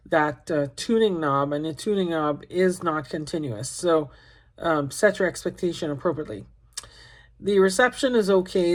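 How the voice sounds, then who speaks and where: A woman with a somewhat low voice talks through a radio review at home. She is relaxed and chatty, with plenty of ums as she goes over the pros and cons. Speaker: ham radio channel host reviewing a radio. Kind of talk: casual product demonstration. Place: at home by a window.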